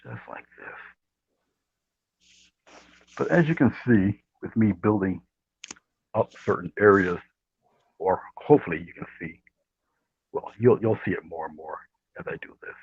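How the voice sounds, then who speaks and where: Guy doing a casual painting demo, really husky low murmur, trails off quiet, muffled sound. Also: animated, moderate pace, pauses often. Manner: soft, murmuring, trails off near whisper. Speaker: guest painting instructor. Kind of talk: casual live painting demonstration. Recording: dull, roomy livestream audio.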